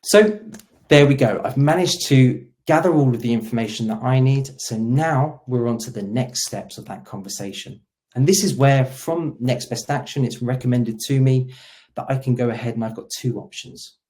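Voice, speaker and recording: A man giving a conversational, explanatory walkthrough of software as a product demo presenter. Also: medium pitch, normal speed. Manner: explanatory, role-plays agent dialogue.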